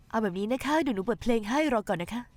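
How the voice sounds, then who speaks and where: A woman voices a caring young daughter-in-law. She speaks softly and politely, and her voice sits a little high and sweet. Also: a little fast, dry recording, lightly acted. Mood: tender. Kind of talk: dubbed television drama acting.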